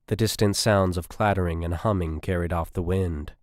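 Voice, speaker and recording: A man narrates a military sci-fi audiobook in a low voice. The reading is scripted storytelling, recorded dry and close with hardly any room sound. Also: steady tone, normal speed, darkish tone, dry recording.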